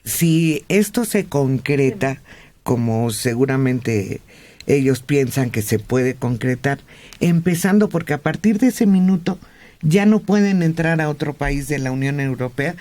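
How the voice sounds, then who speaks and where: A Mexican man speaking on a radio panel, explaining points in a measured way. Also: medium pitch, lively intonation, a little mellow. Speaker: radio host.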